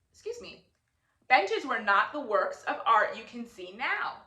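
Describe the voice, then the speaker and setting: A woman reading a children's picture book aloud as an educator, expressive and deliberate. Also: fairly high pitch, reverberant. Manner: expressive, deliberate, engaging listeners with questions. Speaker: read-aloud storyteller, educator.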